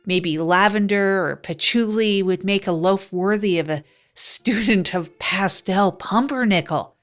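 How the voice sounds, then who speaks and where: A woman plays a wry, down-and-out woman narrating her own schemes in a comedy audio drama. She rambles in a deadpan, self-mocking way at a middle pitch, with faint music underneath. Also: a little slow, natural voice. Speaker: narrator of the show. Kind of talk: improvised comic first-person narration.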